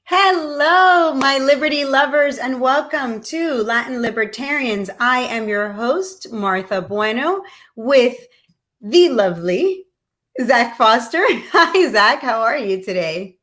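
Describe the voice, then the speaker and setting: A woman's voice: high voice, lively intonation, slow pace, fairly bright tone. A woman from Cuba hosting a casual chat show, bubbly and playful, often laughing as she talks. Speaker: host.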